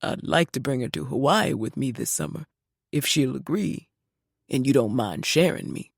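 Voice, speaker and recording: A woman voicing a gentle older widowed father speaking softly and tenderly to his daughter. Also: animated, moderate pace, creaky, no echo, studio quality, heavily acted. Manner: soft, low, tender.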